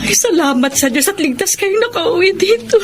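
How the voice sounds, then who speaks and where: An animated, high-voiced Filipino mother. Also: normal speed, music in the background. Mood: tearful, relieved. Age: middle-aged. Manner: emotional, earnest. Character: devout, tender widowed mother of a grown son. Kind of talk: Filipino radio drama acting.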